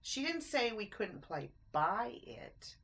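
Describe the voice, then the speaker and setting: A woman voicing a timid, anxious little boy mouse in a children's storybook, pleading in a high voice. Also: big pitch swings, takes it slowly, a bit bright, heavily acted. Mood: cautious.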